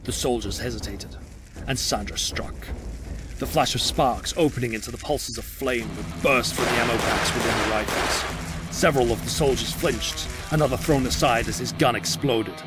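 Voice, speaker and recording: Male action narrator over loud music. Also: mid-range voice, moderate pace. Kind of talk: dramatic scripted action narration. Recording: loud music and gunfire behind.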